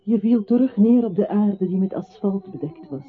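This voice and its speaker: A man's voice: sits high, lively intonation, moderate pace. An actor playing an accusing voice that solemnly tells a captive his own life in a poetic radio play. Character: accusing male voice narrating a captive's life to him. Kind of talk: radio play, poetic second-person narration. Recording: tinny, narrow old radio recording with hiss.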